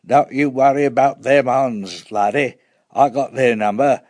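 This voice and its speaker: A man voicing a determined air-raid warden with a booming, bossy manner, in a storytelling narration. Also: fairly even intonation, unhurried, darkish tone, no echo, studio quality, natural voice. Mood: brisk reassurance.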